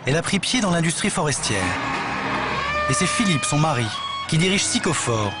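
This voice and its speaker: High-pitched male documentary narrator over music. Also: very animated, normal speed. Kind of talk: scripted narration. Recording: music behind the voice.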